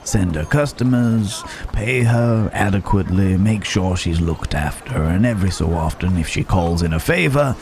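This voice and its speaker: A man playing a roguish, wry but protective Wild West cowboy in an ASMR roleplay, with a halting, teasing drawl. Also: low-pitched, expressive, background noise. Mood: dark, knowing. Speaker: audio roleplay voice actor. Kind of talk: ASMR roleplay acting, addressed to the listener.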